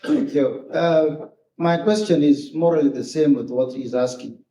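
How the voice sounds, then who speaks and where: Very dark-voiced man from South Sudan. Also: a little high, slight background noise. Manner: polite, measured, hesitant. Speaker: audience member asking a question. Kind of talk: live Q&A question. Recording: echoey hall, distant mic.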